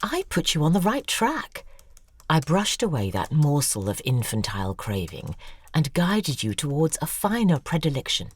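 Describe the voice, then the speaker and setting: Low, haughty, cutting woman, swooping delivery. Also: big pitch swings, moderate pace, husky, full character voice. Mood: haughty scorn. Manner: disdainful, articulate, cutting. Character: cold, haughty older woman, scornful former object of a crush. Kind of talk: audio drama acting, reading out emails.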